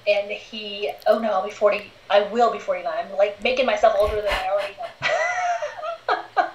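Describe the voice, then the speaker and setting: A woman's voice: medium pitch, very animated, normal speed, noisy background. A candid woman in her forties chats as an interview guest and laughs easily. Her voice carries a roomy echo and sounds a bit dull, like remote call audio. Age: 40s. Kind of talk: casual interview chat.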